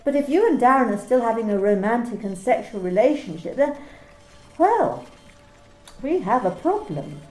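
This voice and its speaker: A woman's voice: fairly high pitch, expressive, moderate pace, darkish tone, echoey room, faint music behind, natural voice, low-quality recording. A woman playing a cold, controlling company manager in an amateur radio play, speaking in a clipped, coolly formal way. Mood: stern. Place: home during lockdown.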